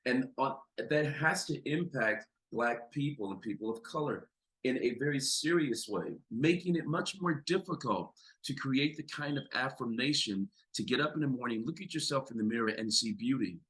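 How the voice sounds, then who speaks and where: A man with a deep voice and an American accent, a psychologist speaking as a podcast guest, talking with emphatic, building intensity. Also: normal speed, a bit bright, poor audio. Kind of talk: impassioned conversational talk.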